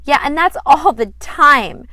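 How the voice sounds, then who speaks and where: Lady doing a whiny indignant little girl from a storybook, high n snappy, swoopy pitch. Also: expressive, takes it slowly, bright, forceful tone, full character voice. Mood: annoyed. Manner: whining, snappy, exclaiming.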